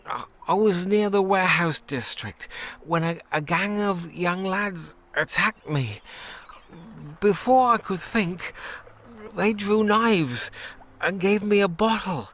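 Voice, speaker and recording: An actor playing a pitiful, hungover young delivery man in a video game, halting and plaintive. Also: sits high, a bit bright, exaggerated voice. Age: young adult. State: groaning, hungover.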